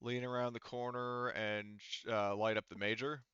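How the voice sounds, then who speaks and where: A man running a casual online tabletop roleplaying game as game master, thinking aloud haltingly as he works through the rules. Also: medium pitch, level tone, a bit bright, clear, resonant tone, no room sound, dull audio.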